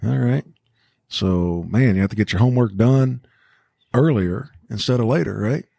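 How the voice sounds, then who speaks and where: A man's voice: mid-range voice, normal speed, gravelly, warm tone, slightly rough, dry recording, clean studio sound. A man hosting a casual school podcast, encouraging his co-hosts and prompting them with questions. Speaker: podcast host.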